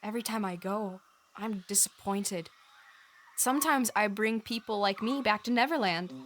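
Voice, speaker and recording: A woman's voice: medium pitch, moderate pace, slight background noise, close to an everyday voice. A woman playing a playful, defiant young boy adventurer in an audio drama, with a blunt, childlike manner. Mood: wistful.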